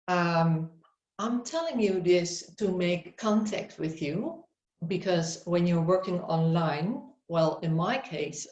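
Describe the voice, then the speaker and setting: A middle-aged woman with a Dutch accent, a psychotherapist presenting a webinar in unhurried English and often searching for words. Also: low voice, a little mellow. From Netherlands. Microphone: video call. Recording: roomy, dull webinar audio.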